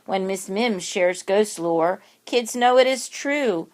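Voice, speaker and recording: A woman's voice: mid-range voice, a little mellow. A woman reading a rhyming children's picture book aloud, expressively and with dramatic emphasis on words. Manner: deliberate, dramatic word emphasis.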